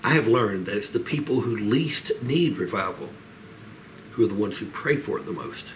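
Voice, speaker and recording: A man, teaching the Bible as a pastor in a measured, earnest way with frequent pauses, seated in a small room a little way from the mic. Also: on the low side, expressive, low-quality recording. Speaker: pastor leading a Bible study. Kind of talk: Bible teaching, expository sermon. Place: small home office, indoors. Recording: clear background room noise.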